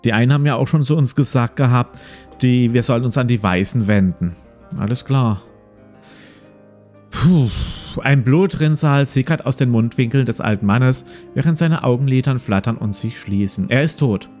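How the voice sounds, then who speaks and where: A man's voice: mid-range voice, moderate pace, a little mellow. A man in his forties hosting a let's play, reading a gamebook aloud and commenting casually. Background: plucked guitar music.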